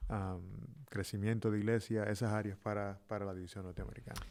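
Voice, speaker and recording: Middle-aged male guest, Cuban accent, lowish voice, pastor explaining things emphatically in conversational interview style. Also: little pitch movement, quick pace, a little mellow. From Cuba.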